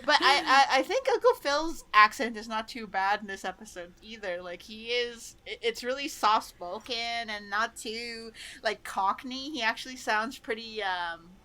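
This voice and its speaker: A woman's voice: on the high side, expressive, moderate pace, hardly any pauses, pushed and bright, low-quality recording. A woman in her thirties with a Canadian accent, casually recapping a sitcom episode and laughing often. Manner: chatty, laughs often, many "like" fillers. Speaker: podcast host.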